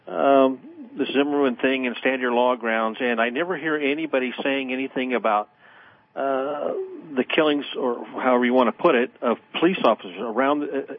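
A man calling in to a radio talk show, speaking haltingly with many ums and uhs. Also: mid-range voice, dry recording. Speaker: caller. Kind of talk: phone-in call. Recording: tinny phone line on radio.

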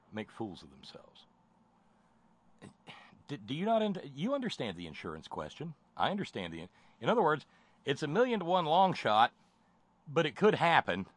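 A man's voice: mid-range voice, fairly bright tone, dry recording. A man giving sarcastic running commentary over a hearing. He mocks it, piles up slangy insults and stammers on repeated words. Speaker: livestream commentator.

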